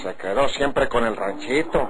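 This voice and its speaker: A man playing an easygoing, chatty sharecropper with a rural northern Mexican accent and a gravelly voice, in a radio drama. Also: mid-range voice, measured pace, crowd noise, lightly acted. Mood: friendly probing. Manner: folksy, rambling. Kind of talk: old-time radio drama acting. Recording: faint noise, cattle, old radio serial.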